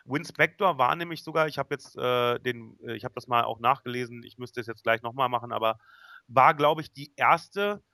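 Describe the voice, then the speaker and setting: A man's voice: medium pitch, moderate pace, sharp tone, dry recording, studio quality. A man hosting a casual podcast chat, talking conversationally and often checking for agreement. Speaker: podcast host.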